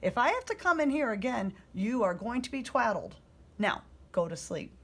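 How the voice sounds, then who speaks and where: A woman's voice: a little high, very animated, normal speed, fairly bright tone, heavily acted. A woman voicing a dismissive monster mother, firm and scolding, from a children's storybook. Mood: exasperated. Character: brisk, dismissive monster mother losing patience.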